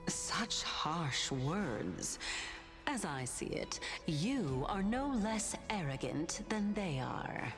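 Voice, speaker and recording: An actress playing an imperious, otherworldly judge of souls in a video game, speaking in a stately, formal, taunting way. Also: female voice, lowish voice, very animated, very slow, over music, full character voice. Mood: teasing, cool. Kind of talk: scripted video game voice acting.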